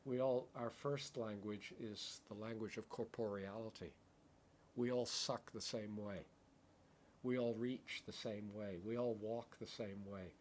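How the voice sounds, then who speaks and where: A male meditation teacher gives an unhurried, contemplative talk and lets poetic images unfold at a very slow pace. He often pauses to check in with his listeners with a quick "right?". A faint hiss sits quietly behind his voice. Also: lowish voice, fairly even intonation. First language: English.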